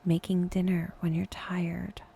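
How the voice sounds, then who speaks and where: A woman guiding a meditation in a soft, breathy, often near-whispered voice, speaking in short phrases with long pauses. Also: on the low side, little pitch movement. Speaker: meditation guide, podcast host. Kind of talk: guided meditation, soft slow scripted narration.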